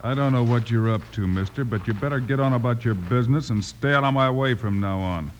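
A man acting a heroic cowboy marshal with a mid-pitched, steady voice. He talks plainly, easygoing yet firm, in a radio western on a noisy vintage recording. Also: brisk, natural voice. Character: calm, steady heroic western lawman, adult male.

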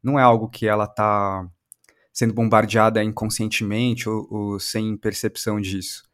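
A man's voice: on the low side, flat delivery, moderate pace, a bit bright. A man with a Brazilian Portuguese accent interviewing in a conversational, analytical way, building his questions step by step. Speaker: interviewer, podcast host.

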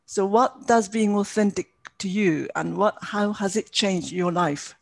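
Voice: medium pitch, a little slow, gravelly. A podcast host interviewing a guest, pressing with follow-up questions.

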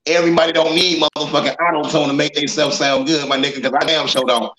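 A man's voice: on the high side, little pitch movement, quick pace, a bit bright. A man, bantering in a laid-back, boastful way as a guest in a casual chat. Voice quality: raspy. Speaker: guest, singer. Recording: roomy with background noise.

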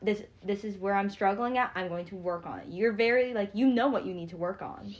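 A young woman in a casual chat, supportive and a bit hesitant, speaking in halting phrases. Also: medium pitch, dull audio.

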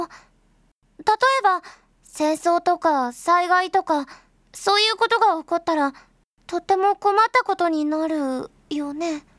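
Audio drama little sister: extremely high voice, childlike, sincere and gentle, adores her big brother, dry studio sound. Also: dry recording. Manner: eager, childlike, gentle, talking to an unheard brother. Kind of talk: Japanese audio drama acting.

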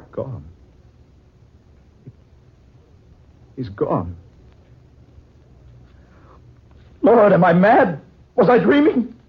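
An actor playing a wealthy man with a guilty secret in a 1940s radio drama, theatrical and given to dramatic pauses. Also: high voice, breathy edge, heavily acted. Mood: horrified dread. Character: wealthy suave man haunted by guilty dread. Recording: dull old radio recording, piano music behind.

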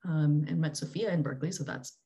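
A young woman from Singapore, a podcast guest on a call, chatting in a relaxed, matter-of-fact way. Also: deep voice, a little fast. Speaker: interview guest. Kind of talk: casual chat. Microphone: remote call.

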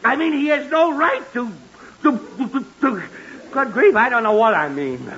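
A man's voice: extremely high pitch, animated, moderate pace, a bit bright, a faint crowd. An American man acting a grumpy, sputtering middle-aged husband and father in a 1940s radio comedy. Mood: exasperated, sputtering. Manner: blustering, sputtering. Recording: muffled hissy 1940s radio broadcast.

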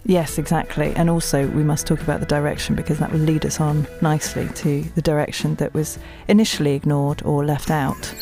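A woman's voice: deep voice, little pitch movement, a little fast, hardly any pauses. Chatty southern English woman over music. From England. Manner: jokey, agreeable, laughs often. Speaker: voice actor and audiobook narrator. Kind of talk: casual chat interview.

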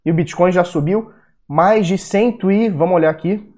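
A man's voice: sits high, normal speed, darkish tone. A young Brazilian man with a Brazilian Portuguese accent, hosting a casual market-analysis video, blunt and profane, with heated rants. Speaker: crypto video host.

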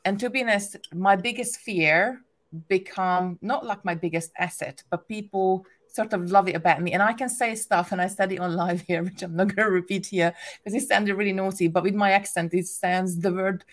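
A woman speaks English with a strong Hungarian accent, her first language showing in the grammar. She rambles casually in a mid-pitched voice, full of restarts and small laughs, as a guest on a chat show. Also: steady tone, normal speed. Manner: chatty, many restarts and "like" fillers, laughs often. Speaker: guest, craft business owner.

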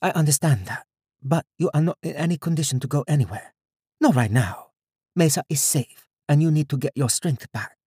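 Audiobook role: composed woman, higher register, firm yet soothing, keeps things back; male narrator performs her. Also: a little fast, warm tone, breathy edge, no echo, studio quality. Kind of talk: audiobook character dialogue.

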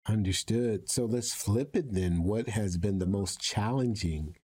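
A man interviewing a guest conversationally, speaking in an encouraging, deliberate way. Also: fairly low pitch, dark tone, slightly rough.

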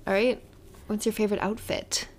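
A woman's voice: mid-range voice, level tone, normal speed. A woman chatting casually as co-host of a TV recap podcast. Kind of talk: casual chat.

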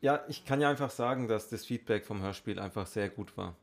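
A man's voice: on the low side, a little fast, vocal fry, over loud music. A man co-hosting a podcast in casual chat. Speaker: podcast co-host.

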